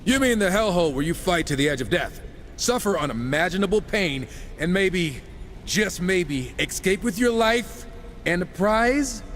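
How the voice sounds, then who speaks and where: Game hero: wisecracking horseman, irreverent, cocky, bouncy intonation, higher male register, reverberant sound. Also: expressive, normal speed, a bit bright, slight background noise. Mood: cocky, eager. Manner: sarcastic, irreverent. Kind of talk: casual quips in video game cutscene acting.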